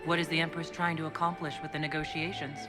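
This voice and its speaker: A woman's voice: low voice, flat delivery, fast talker, natural voice. An actress voicing the female leader of a holy order in a video game, speaking directly and inquisitively. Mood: questioning. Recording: game dialogue over loud music.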